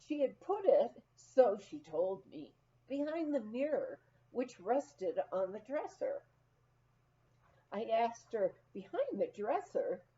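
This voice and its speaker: A woman reading a humorous memoir aloud as an expressive, animated storyteller, acting out the dialogue. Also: on the high side, slow pace, muffled recording. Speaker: audiobook reader.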